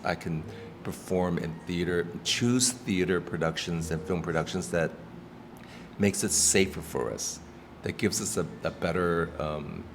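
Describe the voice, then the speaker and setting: A man with a low voice speaks earnestly and thoughtfully, pausing to restart his sentences. He is recorded in a restaurant with lingering reverb. Clear background noise sits under his voice. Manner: earnest, reflective, with many restarts and ums. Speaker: actor and activist guest. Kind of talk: casual interview talk.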